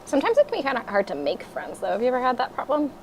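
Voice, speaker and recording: A woman's voice: sits high, huge pitch swings, very fast, a little mellow, a little hiss or hum, rough recording. A woman co-hosting a children's story time in casual, conversational chat.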